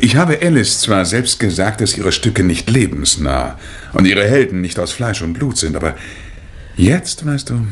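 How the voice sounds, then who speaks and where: A man's voice: on the low side, big pitch swings, a little slow. A man playing a hearty, wry husband in a 1960 German radio play, theatrical and jovial. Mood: reflective. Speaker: actor in a crime radio play. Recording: noisy old vinyl transfer.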